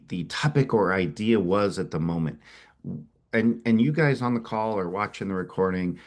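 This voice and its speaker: A middle-aged man with a head cold and a low voice presents at a webinar. He talks with energy and often doubles back on his words. Now and then he sniffles. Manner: energetic, repeats words as he restarts. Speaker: company founder presenting a webinar.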